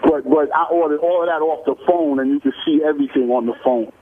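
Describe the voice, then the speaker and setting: Over a thin phone line, a man with a slightly high voice calls a radio show. He talks casually in street slang, excitable and repetitive. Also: fast, noisy background. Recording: tinny, narrow phone line.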